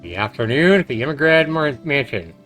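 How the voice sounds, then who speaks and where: Male game streamer, medium pitch: reads story text aloud, slightly halting, chatty asides, light music bed. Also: very animated, fairly bright tone. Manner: halting read-aloud with stumbles and self-corrections. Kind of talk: reading a visual novel aloud with casual asides.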